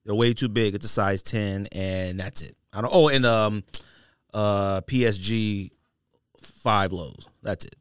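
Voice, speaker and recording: A man's voice: lowish voice, measured pace, clean tone, dry recording, clean studio sound. An American man co-hosting a casual podcast, talking in slangy, opinionated banter and repeating himself for emphasis. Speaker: podcast co-host. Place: podcast studio.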